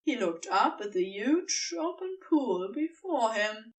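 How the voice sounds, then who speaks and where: A woman narrates a story in a fairly high voice. Her delivery is unhurried and deliberate, with lively swings in pitch and dramatic pauses for effect. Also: distant mic, background noise. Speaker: audiobook narrator. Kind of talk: expressive scripted fiction narration.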